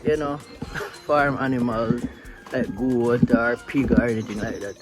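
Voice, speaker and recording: A man's voice: medium pitch, a little slow, loud music behind the voice. A man from Jamaica with a Jamaican Patois accent, chatting casually in a vlog by a river and mixing Patois into his English. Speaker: vlogger. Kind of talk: casual vlog talk outdoors. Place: outdoors by a river.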